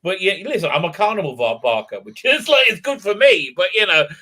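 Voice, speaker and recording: Bright, higher-voiced man on a podcast panel. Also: sing-song, fairly quick. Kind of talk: casual, opinionated chat.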